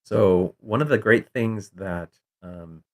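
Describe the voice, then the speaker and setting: A man's voice: deep voice, slow. A middle-aged man hosting a casual call-in show, rambling with many fillers and restarts.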